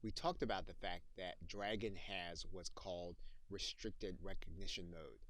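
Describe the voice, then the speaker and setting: Low-voiced man narrating a software tutorial. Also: fairly even intonation, normal speed, no room sound. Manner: methodical, explanatory. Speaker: software training instructor. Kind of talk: instructional screencast narration with dictation demos.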